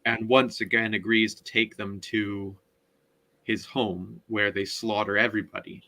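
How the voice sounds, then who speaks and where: A man recapping a story in a casual book discussion, often halting as he searches for words. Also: on the low side, flat delivery, creaky, pushed and bright, rough recording. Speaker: podcast co-host.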